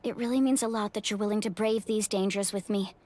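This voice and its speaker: A woman's voice: mid-range voice, fairly even intonation, brisk, a little mellow, lightly acted. A woman playing an earnest, polite female adventurer in a video game, sincere in her delivery. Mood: grateful. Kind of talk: English video game voice acting.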